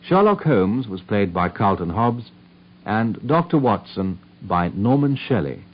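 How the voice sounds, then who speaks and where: A man with an English accent giving formal, measured scripted announcements as a radio drama announcer. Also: on the low side, very animated. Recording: muffled old radio recording.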